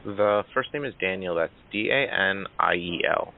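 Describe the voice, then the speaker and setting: Low male assistant on a tinny phone. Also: moderate pace, vocal fry. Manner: hesitant fillers, slightly formal phrasing. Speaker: automated assistant making a booking call. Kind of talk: polite phone conversation. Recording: tinny noisy phone line.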